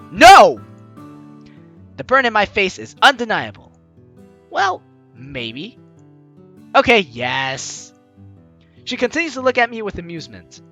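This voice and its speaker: A man with a bright, forceful voice hosts a let's play over game music. He reads the story text aloud and breaks into jokey, exclamatory commentary, his pitch leaping around theatrically. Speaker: gaming video host.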